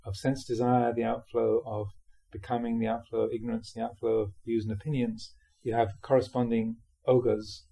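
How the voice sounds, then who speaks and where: A man with a soft-edged, mellow voice and a slightly husky grain reads spiritual teachings aloud. His pitch sits in the middle range. He phrases things in a measured, emphatic way, as a monk giving a talk would. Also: level tone. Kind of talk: reading a dharma talk aloud with informal asides.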